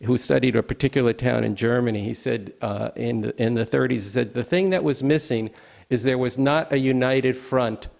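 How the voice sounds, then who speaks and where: A man hosting a political discussion as an activist, speaking emphatically and haltingly, often restarting his sentences. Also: mid-range voice, fairly even intonation, vocal fry, darkish tone. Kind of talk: impassioned political conversation at a streamed event.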